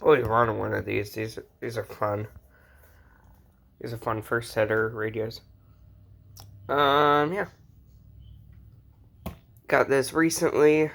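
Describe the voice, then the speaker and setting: A man's voice: medium pitch, steady tone, takes it slowly, vocal fry, long pauses, a bit bright. A man with a mid-range voice hosts a casual hobby show-and-tell. He talks in an informal, rambling way, like someone chatting to his regular viewers. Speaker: host showing a radio collection.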